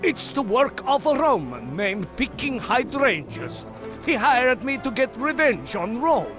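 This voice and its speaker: A man's voice: very high-pitched, big pitch swings, measured pace, full character voice. A man voicing a cowardly, easily cowed Viking raider in a cartoon game, with a meek, excuse-making manner. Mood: sheepish. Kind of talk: animated game cutscene acting. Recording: music behind the voice.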